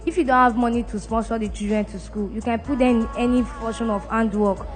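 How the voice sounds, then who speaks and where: A woman's voice: on the high side, fairly even intonation, fairly quick. Nigerian woman, earnest testimony, plain delivery, faint music under her. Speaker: returnee giving testimony.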